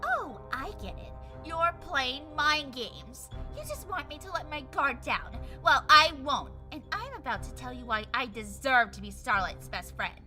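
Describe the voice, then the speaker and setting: A high, bright and piercing cartoon pony magician, swinging theatrically up and down. She is boastful and petulant, whining like a sore winner, with music behind her. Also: sing-song, a little slow, few pauses, exaggerated voice. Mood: smug, combative. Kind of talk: fan audio drama, cartoon-style acting.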